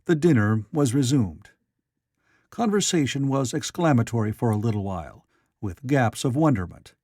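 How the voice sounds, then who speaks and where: A man's voice: mid-range voice, animated, moderate pace, mellow, no echo, clean studio sound. A man reading classic literary fiction aloud as a scripted audiobook narrator.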